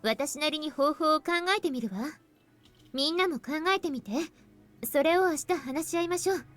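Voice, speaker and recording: An actress voicing young female anime schoolgirl characters in a visual novel, speaking in a composed, measured way. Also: high-pitched, a bit bright. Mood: calm, thoughtful. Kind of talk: Japanese visual novel voice acting. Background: faint game music.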